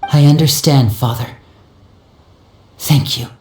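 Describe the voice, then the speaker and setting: An earnest, self-doubting young man played by an actor in a dramatic game scene. His voice is mid-pitched, and he talks respectfully and sincerely. The recording has a roomy echo. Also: slow pace, darkish tone, lightly acted. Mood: grateful, resolved. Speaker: voice actor in a game story cutscene.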